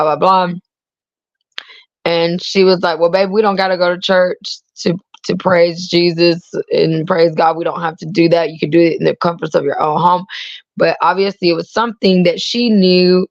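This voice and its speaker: Guest, woman, gravelly, halting and earnest; dry, dull lo-fi recording. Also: lowish voice, no room sound. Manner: halting, restarts, speaks with feeling. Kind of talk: casual chat.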